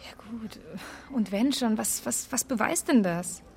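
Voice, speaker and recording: An actress playing a pragmatic, sceptical police detective in a radio crime drama, prodding and questioning in a colloquial way. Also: female voice, fairly high pitch, expressive, unhurried, creaky, darkish tone, slight background noise. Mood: sceptical, exasperated. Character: sceptical, pragmatic female police detective challenging her partner. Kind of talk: naturalistic German radio play acting.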